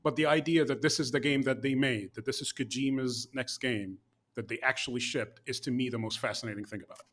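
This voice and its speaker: A man's voice: mid-range voice, a little fast, a bit bright. A man co-hosting a gaming podcast, giving opinionated, rambling takes with lots of fillers and restarts. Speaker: podcast co-host. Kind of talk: casual chat.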